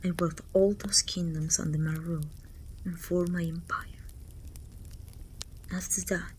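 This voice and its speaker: A woman playing a proud, commanding evil queen in an intimate ASMR roleplay, speaking softly and often near a whisper in a measured, regal way. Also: on the low side, flat delivery, a little hiss or hum, lightly acted, poor audio. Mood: cold, resolute. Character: haughty evil queen, proud sorceress ruler, confiding and commanding. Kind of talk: ASMR roleplay acting, intimate monologue to a servant.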